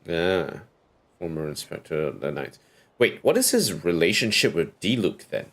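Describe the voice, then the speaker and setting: A man giving casual livestream commentary on a game, musing in a low-key way and repeating bits of what he hears. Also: sing-song, normal speed, fairly bright tone. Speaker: game streamer.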